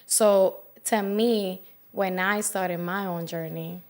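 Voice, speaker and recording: A young woman from the Dominican Republic, a wellness coach talking as a podcast guest, quick and chatty with lots of "like.". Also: medium pitch, steady tone. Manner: quick, chatty, many "like" fillers. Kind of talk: casual interview chat.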